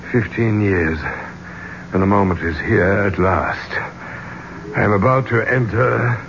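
Casting: titled archaeologist obsessed with his dig, deliberate low voice, rhetorical, muffled radio drama sound. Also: gravelly. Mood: awed. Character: obsessed, grandiose male archaeologist, a knighted gentleman. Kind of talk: 1970s radio drama acting. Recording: dull, noisy old radio recording.

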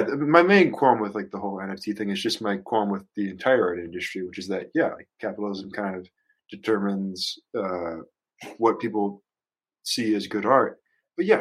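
Man, lowish pitch, casual conversation, hesitant, thinking aloud. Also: a bit bright, slightly rough, low-quality recording. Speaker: podcast guest, musician.

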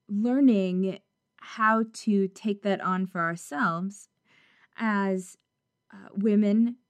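Woman, interviewer, very slow, measured, halting, dry. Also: mid-range voice, no room sound. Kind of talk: interview questions.

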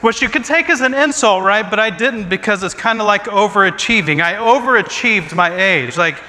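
Bright-voiced pastor in his forties. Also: high-pitched, animated, normal speed. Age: 40s. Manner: self-deprecating joking, chatty asides. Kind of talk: humorous sermon talk. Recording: long reverb in a large hall.

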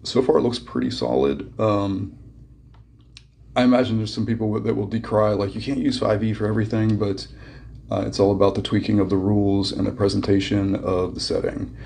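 A man with a cold and a hoarse voice, calling in a casual, hesitant message full of ums and restarts. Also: on the low side, steady tone, a little fast, mellow, a little hiss or hum. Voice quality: gravelly and husky. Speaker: caller sending in a contest entry.

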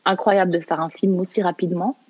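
Low, dull-sounding woman, halting interview guest. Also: fairly even intonation, darkish tone, slightly rough. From Canada. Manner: hesitant, searching for words, repeats phrases. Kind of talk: casual, halting interview conversation.

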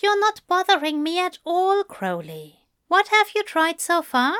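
A woman reading a story aloud and voicing a gentle, caring man who speaks softly and reassuringly. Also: extremely high pitch, big pitch swings, measured pace, no room sound, studio quality, pitched above the performer's own voice, heavily acted. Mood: tender. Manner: soft, tender, reassuring. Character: gentle, fussy, kindly man, soothing and caring. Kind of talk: audiobook narration of fan fiction.

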